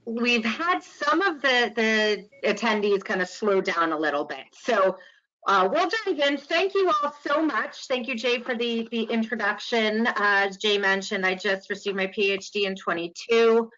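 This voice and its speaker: A woman's voice: a little high, expressive, moderate pace, sharp tone. A woman from New York presenting a webinar lecture in a chatty, welcoming way over an online call. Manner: chatty and welcoming, with small laughs. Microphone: online call audio. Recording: dull lo-fi web call audio.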